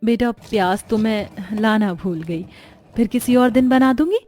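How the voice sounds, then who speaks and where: A woman playing a gentle, worried, patient mother and wife in a moral-story cartoon. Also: on the high side, measured pace, faint music behind, close to an everyday voice. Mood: soothing.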